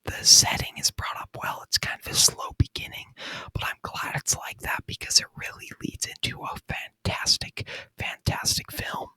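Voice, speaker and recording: A man speaking in a breathy whisper, chatting casually in ASMR style and softly repeating words, close to the microphone. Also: normal speed, no room sound. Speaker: ASMR video creator reviewing a movie.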